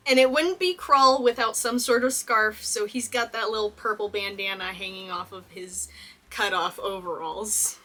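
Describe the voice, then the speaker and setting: Bright-voiced, chatty young woman. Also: mid-range voice, normal speed. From Texas. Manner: chatty and enthusiastic, laughs often. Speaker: cosplayer and video host. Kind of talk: casual movie costume analysis.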